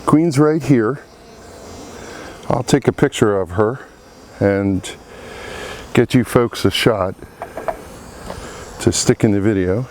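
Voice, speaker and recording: A man with a cold and a froggy, congested voice, talking slowly through a beehive inspection outdoors among buzzing bees. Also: medium pitch, a little mellow, slight background noise, poor audio. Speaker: beekeeper. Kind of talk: casual hive inspection commentary. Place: outdoor apiary.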